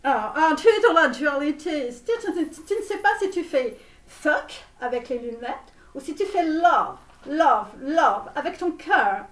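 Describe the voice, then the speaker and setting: A high-voiced, pushy fortune teller with a Romanian accent, put on by a woman, in a parody online tarot reading. She repeats herself insistently and theatrically, falsely reassuring, heard from a distance in an echoey room. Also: animated. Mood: musing, preachy. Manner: repetitive, halting, theatrical, insistent. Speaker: online tarot reader hosting a channel. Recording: roomy echo, distant microphone.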